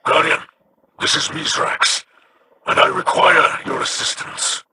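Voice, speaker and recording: An actor playing a grave, formal alien leader in a video game, speaking carefully and solemnly. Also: male voice, a little slow, sharp tone, a little hiss or hum.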